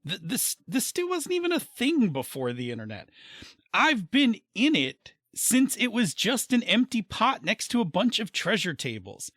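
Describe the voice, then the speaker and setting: A man's voice: sits high, huge pitch swings, moderate pace, a bit bright, no echo, studio quality, exaggerated voice. A man playing an argumentative old-timer gnome, blustering about seniority in a comedic audio drama. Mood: indignant boasting.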